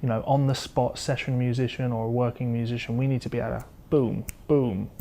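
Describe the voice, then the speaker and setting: A man's voice: medium pitch, fairly even intonation, brisk, creaky, warm tone, a little hiss or hum. A man giving a guitar lesson, explaining step by step in a conversational, instructional way. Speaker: guitar teacher. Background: electric or acoustic guitar playing.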